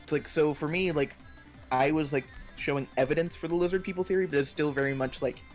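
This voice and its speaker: A young man chatting casually on a live stream, telling stories in a rambling, halting way full of filler words. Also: fairly high pitch, steady tone, faint music behind. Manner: rambling, halting storytelling peppered with 'like'. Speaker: stream co-host.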